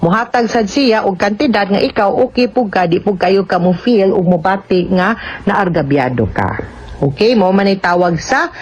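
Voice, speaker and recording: A woman's voice: mid-range voice, normal speed. A woman with a Cebuano Filipino accent giving conversational legal advice as a radio host, explaining things and often checking with short tag questions. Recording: dull lo-fi radio recording.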